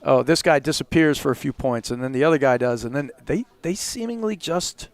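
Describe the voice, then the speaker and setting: A man's voice: mid-range voice, expressive, fairly quick, no room sound. A man commentating a platform tennis final in a chatty, conversational way, then wrapping up the broadcast. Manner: chatty, conversational, fillers like "you know". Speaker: play-by-play commentator. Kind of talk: sports commentary wrap-up.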